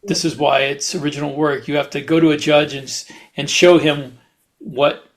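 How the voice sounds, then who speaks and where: Higher-pitched man in halting podcast chat. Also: steady tone. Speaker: podcast co-host and fiction writer.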